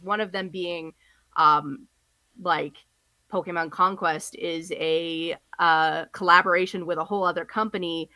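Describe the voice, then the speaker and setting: Bright-voiced woman co-hosting a podcast. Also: on the low side, dry recording. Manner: hesitant with many fillers, laughs easily. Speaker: podcast co-host. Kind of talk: casual discussion.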